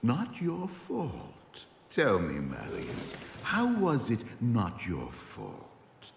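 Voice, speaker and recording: An actor playing a menacing, mock-polite visitor in a game cinematic, questioning deliberately and probingly. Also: male voice, fairly low pitch, huge pitch swings, vocal fry, full character voice. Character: menacing, probing visitor in disguise, calmly interrogating. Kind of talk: dramatic game cinematic dialogue. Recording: cavernous long reverb, faint background noise.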